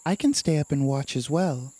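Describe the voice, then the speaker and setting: A woman's voice: low voice, lively intonation, normal speed, dark tone, no echo. A woman playing a tabletop game in character, answering in a hesitant, defensive way. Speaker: player.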